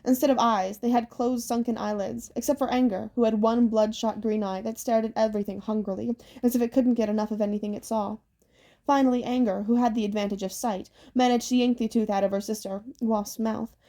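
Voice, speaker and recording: Female audiobook narrator, fairly high voice, relaxed dark-soft timbre, scripted storytelling. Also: a little fast.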